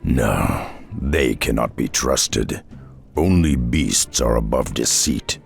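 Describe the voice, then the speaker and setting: An actor playing a world-weary, brooding half-orc, half-ogre warrior in a video game, speaking slowly and solemnly in a gravelly voice. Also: male voice, very deep voice, animated, exaggerated voice. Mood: weary, bitter. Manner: brooding, solemn, slow-spoken. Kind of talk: video game cutscene acting. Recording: music behind the voice.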